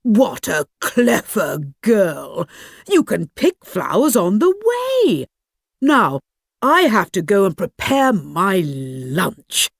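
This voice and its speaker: A woman voicing a sly, charming male storybook wolf with a smooth, coaxing, falsely polite manner. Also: medium pitch, wildly swooping pitch, slow, no room sound, studio quality, heavily acted.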